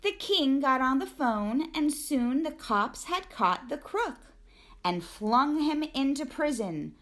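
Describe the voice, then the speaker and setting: A woman reading a rhyming children's storybook aloud in an expressive, deliberate storytelling manner. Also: sits high. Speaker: teacher reading a picture book aloud.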